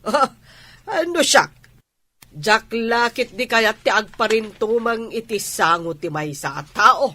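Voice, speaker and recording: A woman's voice: fairly high pitch, slow, pushed and bright, exaggerated voice. A woman with an Ilocano accent playing a skeptical, questioning village woman in a radio drama.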